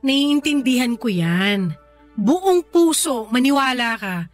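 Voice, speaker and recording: A woman's voice: high voice, expressive, takes it slowly, soft background music. A Filipino actress playing an insistent, pleading, scheming girlfriend in an emotional Tagalog radio drama. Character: pleading, scheming first girlfriend in a polyamorous household.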